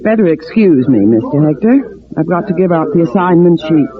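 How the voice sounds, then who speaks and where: A woman's voice: sits low, lively intonation, normal speed. A woman acting as an obliging young secretary in a 1950s radio drama. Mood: obliging, brisk. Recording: tinny old radio with crowd chatter.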